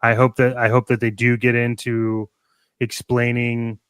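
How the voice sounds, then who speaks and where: Enthusiastic man with a clear, dry voice. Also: medium pitch, completely flat delivery, measured pace, fairly bright tone, dry recording. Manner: enthusiastic, rambling, thinks aloud. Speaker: podcast co-host. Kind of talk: casual fan chat about toys and films.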